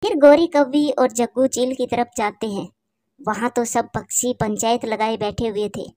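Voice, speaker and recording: A woman's voice: a little high, normal speed, warm tone, no room sound, studio quality. A woman narrating a scripted children's story as the storyteller. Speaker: narrator and channel host.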